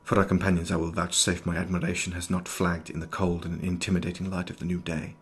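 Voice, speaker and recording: A man playing an erudite, formal nineteenth-century doctor in an audio drama, speaking in precise, ornate sentences with a husky voice. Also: low-pitched, level tone, fast, a bit bright. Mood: grave, introspective. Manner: precise, ornate, old-fashioned diction. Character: erudite, formal 19th-century doctor, inwardly fearful, sharp-eyed. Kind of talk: scripted audio drama, journal narration and dialogue.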